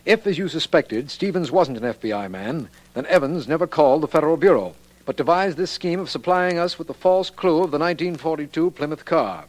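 A man's voice: fairly high pitch, animated, brisk, hardly any pauses, natural voice. An actor playing an earnest lawman, the detective's associate, with formal, inquiring delivery in 1940s radio drama style. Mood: curious, satisfied.

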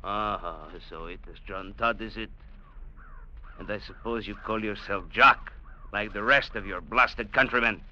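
An actor playing a domineering, sarcastic colonial trading captain in an old radio drama. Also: male voice, lowish voice, a little slow, natural voice. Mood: mocking. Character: sneering, bullying colonial trading agent captain, a man. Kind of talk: 1940s-50s radio drama acting. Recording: muffled, hissy old radio broadcast.

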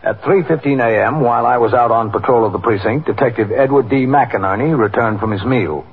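Composed police captain, dull radio recording. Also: male voice, medium pitch, husky. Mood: formal, factual. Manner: measured, matter-of-fact. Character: composed, official police captain narrating his shift. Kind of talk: 1950s radio drama acting and scripted narration.

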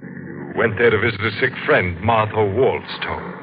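An actor playing an eerie, all-knowing stranger, terse and calmly menacing, in 1940s radio drama style. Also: male voice, fairly low pitch, a little slow. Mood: calm menace. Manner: terse, cryptic, ominous. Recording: noisy, muffled 1940s radio recording.

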